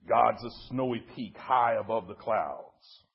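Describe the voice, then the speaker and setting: A man's voice: fairly low pitch, a little slow, gravelly, slightly rough, lo-fi sound, heavily acted. A man voicing quarrelling animals and forces of nature in a storybook, emphatic and declamatory. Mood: earnest.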